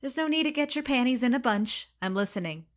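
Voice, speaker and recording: A woman's voice: high voice, lively intonation, fast talker. Woman playing a snarky, flippant reluctant space recruit. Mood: flippant, defiant. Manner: sarcastic, flippant, casual. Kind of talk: comedy sci-fi audio drama, first-person narration and dialogue.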